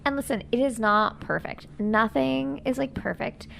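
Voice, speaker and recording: A woman improvising casually as a player in a tabletop roleplaying game, speaking in a halting, repetitive, slangy way with lots of "like". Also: fairly high pitch, a bit bright, a little hiss or hum. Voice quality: creaky. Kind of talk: casual in-character improvised chat.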